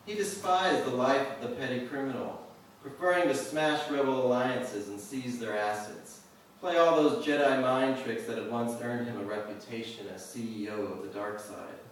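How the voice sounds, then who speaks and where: A man giving a live poetry reading in a measured, deliberate way, pausing at the ends of lines. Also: medium pitch. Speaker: poet. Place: indoor reading venue with a live audience. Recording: very echoey room, distant mic, faint noise.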